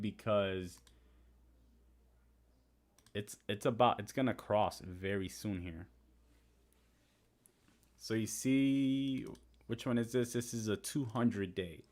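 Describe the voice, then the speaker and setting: A man's voice: lowish voice, unhurried, pauses often, a little mellow. A young man whose first language is Spanish, hosting a casual live stream, reading viewer comments and talking through trading charts. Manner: chatty, reads and answers viewer comments.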